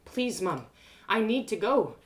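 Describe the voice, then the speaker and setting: A woman's voice: medium pitch, sing-song, a little slow, pitched above the performer's own voice. A woman voicing an earnest, sensitive young woman adventurer in a fantasy story, speaking plainly. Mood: earnest pleading.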